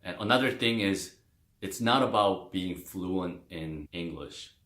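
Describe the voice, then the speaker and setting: A man talks through a career topic in a relaxed, chatty way, sprinkling in "like" and "you know." His voice sits low. The room around him is roomy and echoey. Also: a little slow. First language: Korean. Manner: loose and friendly, many "like" and "you know" fillers. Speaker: video host explaining a career. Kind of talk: casual explanatory talk to camera. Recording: roomy echo.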